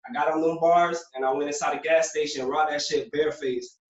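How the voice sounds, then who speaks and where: A young man from Puerto Rico talks fast and loose, slightly high-voiced, full of street slang and filler. He sounds set back from the mic in an echoey room, with a dull, lo-fi recording. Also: steady tone. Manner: streetwise, loose, fills with "you know what I'm saying". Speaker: guest, former prisoner. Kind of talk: casual interview storytelling. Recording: roomy, distant, dull lo-fi sound.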